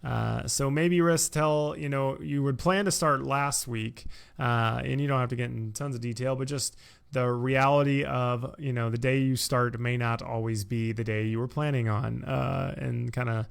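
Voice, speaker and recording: A man hosting a podcast in a casual, conversational way, speaking in a halting manner as he goes. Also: mid-range voice, steady tone, gravelly, clean tone. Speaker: podcast host.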